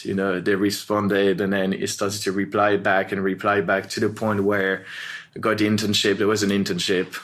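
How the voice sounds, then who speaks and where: A man's voice: lowish voice, fairly bright tone. A man in his thirties with a French accent, a native French speaker, chatting casually as a guest and telling self-deprecating stories. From France. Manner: chuckles as he talks, self-deprecating. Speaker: guest, creative director. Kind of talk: casual conversational storytelling.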